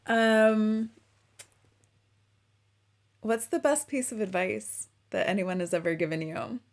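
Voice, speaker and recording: A woman hosting a casual interview, relaxed and easygoing with casual slang. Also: mid-range voice, slow pace, gravelly. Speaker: interviewer, host. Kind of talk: casual conversation.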